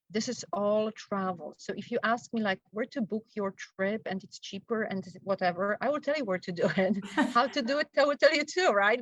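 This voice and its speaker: A woman's voice: medium pitch, fairly bright tone, dry recording. Chatty Polish-accented woman, dull dry sound. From Poland. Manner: chatty, laughs often, keeps asking "right?". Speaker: guest, travel wellness coach. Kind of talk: casual interview chat.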